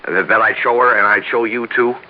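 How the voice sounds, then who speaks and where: An actor playing an earnest, apologetic young American ex-convict, halting and deferential, in an old-style radio drama. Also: male voice, lowish voice, fairly even intonation, lightly acted. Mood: earnest, hopeful. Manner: halting, stammering, pleading, deferential. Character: earnest, apologetic young ex-convict husband, eager to prove himself. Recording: dull, hissy old radio recording.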